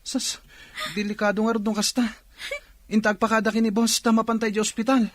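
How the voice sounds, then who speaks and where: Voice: medium pitch, flat delivery, a little fast, no room sound, natural voice. A performer with an Ilocano accent playing an anxious, deferential young worker in a radio drama.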